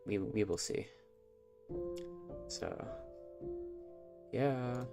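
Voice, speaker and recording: Low, nasal man streaming a game. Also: drawn-out words, gravelly, over music. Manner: rambling, self-conscious, chatty. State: stuffy nose from allergies. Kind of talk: casual live game stream commentary.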